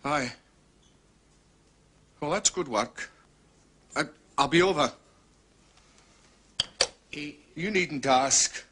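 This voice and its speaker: A man playing an irascible, bossy Scottish police inspector in a radio drama, scolding with sharp authority. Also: fairly high pitch, extremely slow, pauses often, a little hiss or hum, full character voice. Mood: gruff, businesslike.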